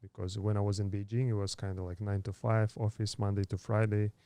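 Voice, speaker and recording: Low mellow-voiced man in his thirties. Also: flat delivery, smooth, dry recording. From Kazakhstan. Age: 30s. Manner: halting, many fillers and restarts. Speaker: podcast guest. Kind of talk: casual interview storytelling.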